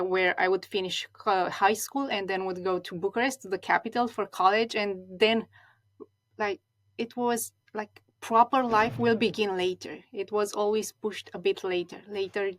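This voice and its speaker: A woman in her thirties from Romania, a non-native speaker whose first language is Romanian, talking reflectively as an interview guest with frequent restarts and repeated words. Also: mid-range voice. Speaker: guest, stylist.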